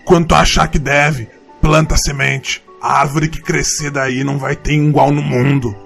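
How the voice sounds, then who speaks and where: A man with a Brazilian accent voicing a rustic forest troglodyte in an audio drama, a gruff but fond mentor with a gravelly voice and plain, ungrammatical speech. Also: a little high, little pitch movement, normal speed, a bit bright, faint music behind. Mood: fond farewell. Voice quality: gravelly, creaky. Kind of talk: audio drama character acting.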